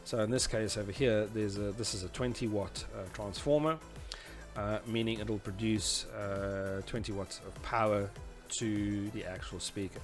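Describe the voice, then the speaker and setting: A man in his forties giving an informal technical tutorial in a halting, explanatory way. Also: fairly low pitch, steady tone. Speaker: presenter teaching audio engineering. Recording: music behind the voice. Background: background music.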